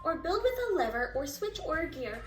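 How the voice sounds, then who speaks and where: A woman's voice: high-pitched, very animated, brisk. A woman voicing a shy young girl inventor in a storybook, self-doubting and dejected, with emphatic delivery. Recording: roomy, distant mic with music.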